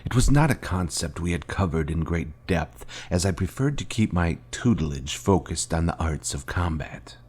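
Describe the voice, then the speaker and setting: A man playing a grave, manipulative fallen Jedi master in a video game, speaking in a solemn, deliberate, grandiose way. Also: deep voice, lively intonation, darkish tone, slightly rough. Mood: accusing, probing. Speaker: game character voice actor. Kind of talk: dramatic scripted game dialogue.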